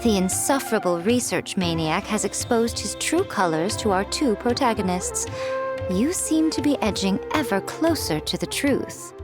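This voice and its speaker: Shrewd, superior young woman for a game played by an actress, medium pitch, expressive, formal phrasing. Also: normal speed, over music, close to an everyday voice. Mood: smug. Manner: haughty, articulate, formal. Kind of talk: video game voice acting.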